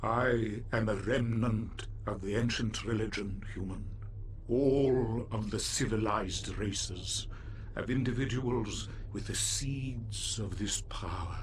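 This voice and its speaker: Slow, low-voiced alien mystic. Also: male voice. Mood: reverent. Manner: slow, reverent, formal, with pauses. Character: wise, solemn old alien mystic and mentor. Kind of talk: cinematic video game acting. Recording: clear background ambience noise.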